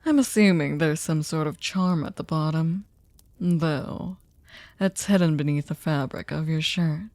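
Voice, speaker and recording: A woman's voice: low-pitched, a little slow, a little mellow, no room sound. A woman playing a sadistic, seductive aristocratic vampire in an ASMR audio drama, teasing and mock-polite, often slipping into a whisper. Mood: coolly curious.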